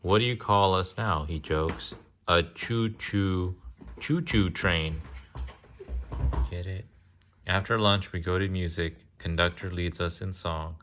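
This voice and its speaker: Slow, low-voiced man reading aloud. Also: fairly bright tone. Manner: interactive, pausing to ask and explain. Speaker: adult reading a picture book to a child. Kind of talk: casual read-aloud with chatty asides.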